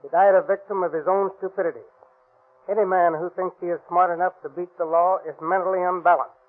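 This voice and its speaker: A man's voice: high voice, steady tone, quick pace, narrow, tinny audio, natural voice. A man giving a stern, preachy scripted moral address in 1930s radio style, on a very thin old recording. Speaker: closing moral commentator.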